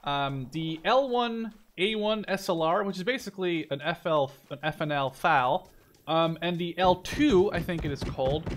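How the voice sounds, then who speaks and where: A man's voice: a little high, moderate pace, a little hiss or hum. A man giving casual, rambling gameplay commentary, informal and given to mild swearing. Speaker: gamer and video host.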